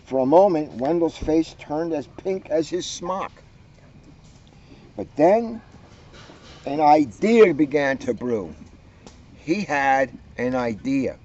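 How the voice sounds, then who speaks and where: A man's voice: fairly high pitch, expressive, takes it slowly, long pauses, mellow, muffled recording. A man reading a storybook aloud with playful, joking asides.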